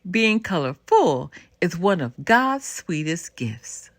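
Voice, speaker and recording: A woman voicing a kindly, wise grandmother in a children's storybook, with an emphatic, gently teaching delivery. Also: lowish voice, sing-song, slow, no echo, studio quality, full character voice. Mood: delighted. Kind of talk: children's storybook read-aloud.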